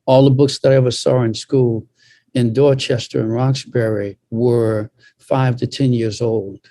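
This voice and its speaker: A man's voice: mid-range voice, steady tone, unhurried, mellow, dry recording. An older American man from Boston earnestly sharing personal recollections as a panelist in a discussion. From Boston, United States.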